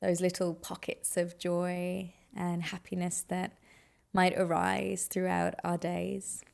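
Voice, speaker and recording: Female meditation guide: very slow, soothing delivery with a gravelly fry at the edges. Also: lowish voice, completely flat delivery, a little mellow. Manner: soothing, unhurried instruction with pauses.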